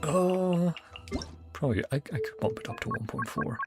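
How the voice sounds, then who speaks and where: A man's voice: high-pitched, wildly swooping pitch, moderate pace, over loud music. A man doing casual gameplay commentary as a streamer, often muttering to himself as he thinks. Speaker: streamer playing a video game.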